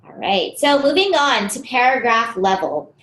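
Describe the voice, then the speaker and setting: A woman presenting a teaching webinar, explaining activities steadily and often pausing on fillers. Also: fairly high pitch, animated, fairly bright tone, echoey room, low-quality recording.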